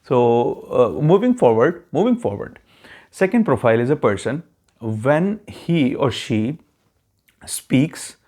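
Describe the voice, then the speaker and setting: A man's voice: medium pitch, sing-song, takes it slowly, darkish tone. A man, self-described as not very fluent in English with a neutral accent, explaining a test strategy to his viewers in a casual talk with frequent okay check-ins. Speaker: YouTube channel host giving immigration advice.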